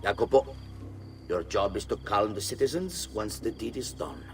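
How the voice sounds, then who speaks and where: A man's voice: on the low side, animated, moderate pace, slight background noise. A man playing a cold, authoritative villainous leader of conspirators in a video game. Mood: cool command. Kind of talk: video game cutscene acting.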